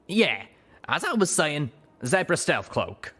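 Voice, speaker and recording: A man voicing a brisk, wry female griffin mercenary leader in a storybook reading, chatty and blunt. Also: a little high, very animated, sharp tone, husky, voice pushed up, exaggerated voice. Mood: wry, tolerant.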